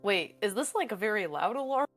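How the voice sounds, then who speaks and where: A woman's voice: medium pitch, lively intonation, fairly quick, faint music behind. A woman chatting informally as a player in a tabletop roleplaying game, improvising in a hesitant, rambling way. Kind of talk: casual improvised roleplay chat.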